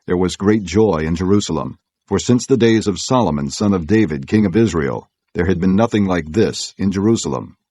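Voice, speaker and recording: A man reading scripture as an audiobook narrator, in a measured, solemn way. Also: low-pitched, lively intonation, warm tone, no echo.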